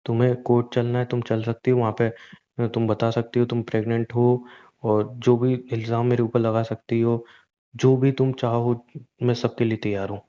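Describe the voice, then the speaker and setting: A man's voice: mid-range voice, flat delivery, moderate pace, dark tone, smooth, highs cut off, natural voice. A man playing a weary, guilt-ridden young husband in a naturalistic relationship drama, earnest and pleading, often repeating himself. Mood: apologetic, cautious. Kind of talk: naturalistic Hindi relationship audio drama, staged as a private conversation.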